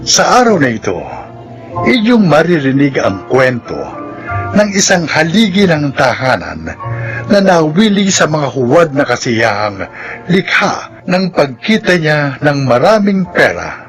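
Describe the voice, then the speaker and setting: Male announcer for radio drama intros and credits; Filipino accent, measured, expressive intonation over music. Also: fairly high pitch. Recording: music bed under voice.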